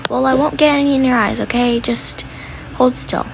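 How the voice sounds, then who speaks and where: A woman playing a brisk, matter-of-fact mom who bosses and looks after her kids in an amateur role play. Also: sits high, fairly even intonation, gravelly. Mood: firm. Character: brisk, firm mom herding kids on beach day. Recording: noisy home recording with clicks.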